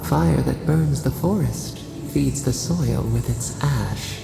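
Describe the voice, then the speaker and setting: A performer voicing an ancient spirit in a video game, speaking cryptically and solemnly in halting fragments as a ghostly echoing voice in the head. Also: medium pitch. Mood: cryptic, brooding. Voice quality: creaky, gravelly. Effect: long ghostly reverb. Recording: heavy reverb under music. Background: music.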